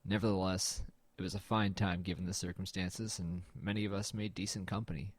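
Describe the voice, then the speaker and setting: A man's voice: low voice, flat delivery, brisk, no room sound. A man playing a brooding, melancholy artist who narrates an audio drama in a reflective, understated, slightly hesitant way. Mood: brooding, uneasy.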